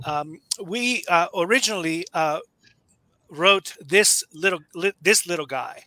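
A man's voice: high-pitched, a little slow, sharp tone, no room sound, low-quality recording. A man in his fifties from Nicaragua, talking as a guest author in a casual interview, hesitant with many fillers and restarts.

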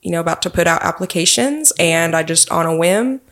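A woman's voice: fairly low pitch, lively intonation, a little fast, fairly bright tone. A young woman from Texas with a Texan accent, a police officer answering interview questions in an earnest, friendly way.

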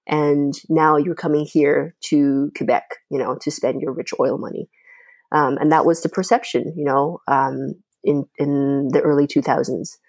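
A woman's voice: deep voice, fairly even intonation, a little slow, a little mellow, no room sound. A young woman from China, a Mandarin native speaker, talking thoughtfully in an interview with many fillers and restarts. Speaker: podcast host, guest. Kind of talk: casual interview talk.

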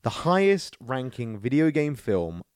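A man's voice: mid-range voice, big pitch swings, measured pace, darkish tone, clean tone, no echo, studio quality. A man co-hosting a podcast, chatting casually about entertainment in a rambling, sweary way. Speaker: podcast host. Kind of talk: casual film and video game chat.

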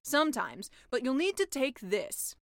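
A woman's voice: high-pitched, big pitch swings, measured pace, no room sound, studio quality, heavily acted. A woman voicing a brash, worldly sea witch with a blunt, matter-of-fact, slightly sly manner in a comic fairy-tale audiobook. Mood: instructive.

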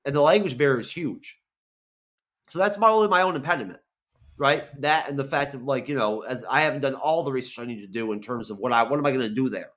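A man's voice: mid-range voice, fast, a bit bright. A man of forty-one, a guest on a video call, talking casually, rambling with lots of restarts and easy swearing. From Florida. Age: 40s. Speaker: guest, content creator. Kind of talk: casual interview chat.

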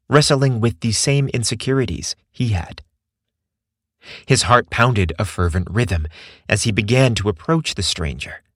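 A man reading a scripted romantic story as narrator, in a measured, expressive storytelling manner. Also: fairly low pitch, dry recording, clean studio sound.

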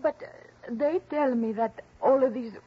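A pleading woman speaks haltingly in a high, very husky voice. The vintage crime drama recording is muffled. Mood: anxious questioning. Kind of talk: 1960s radio drama acting. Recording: muffled, lo-fi old radio recording.

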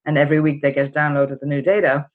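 Irish woman, even-toned, on dull call audio. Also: low voice, fast talker. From Ireland. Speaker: podcast guest, entrepreneur. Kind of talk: casual interview storytelling.

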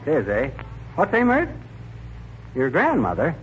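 A grumbly wisecracking radio husband, folksy, kinda high voice that bounces around, 1940s comedy, hissy recording. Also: male voice, wildly swooping pitch, dull audio. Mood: chatty. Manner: folksy, wisecracking, quick with wordplay.

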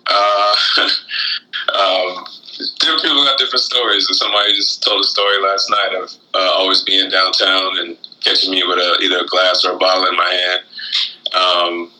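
A man from Florida chatting casually as an interview guest, halting and reflective as he talks. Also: deep voice, flat delivery, sharp tone, low-quality recording. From Florida, United States, born in Guyana. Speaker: interview guest, rapper and shoe designer.

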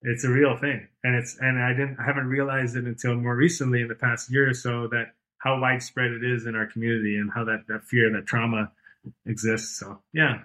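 A man in his late thirties talks casually as an interview guest. His voice sits at an ordinary middle pitch. He wanders through his points with plenty of "you know" and restarts. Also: level tone, brisk, bright, forceful tone. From born and raised outside Iran. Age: 30s. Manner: rambling, many fillers and restarts. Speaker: podcast guest.

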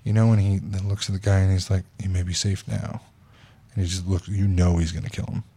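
Low-voiced man hosting a casual chat. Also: flat delivery, fast, mellow, slightly rough. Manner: blunt, casual, swears. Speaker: podcast host. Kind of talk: casual chat, reading posts aloud.

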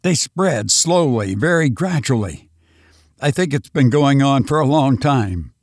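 A man's voice: medium pitch, lively intonation, a little slow, darkish tone, dry recording, studio quality. A man voicing a frantic, exhausted man who has fled invaders, speaking breathlessly and urgently.

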